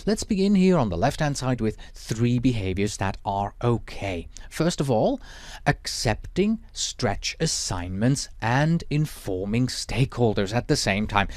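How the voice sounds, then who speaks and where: A man whose first language is Swiss German, teaching a lecture in a measured, explanatory way with Swiss German accent. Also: mid-range voice, big pitch swings, no echo. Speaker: project management instructor.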